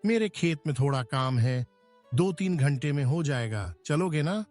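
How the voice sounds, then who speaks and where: A man's voice: a little high, lively intonation, a little slow, a little mellow, faint music behind. A man playing a kind, generous village farmer uncle in a cartoon moral story. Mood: friendly. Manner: kind, friendly.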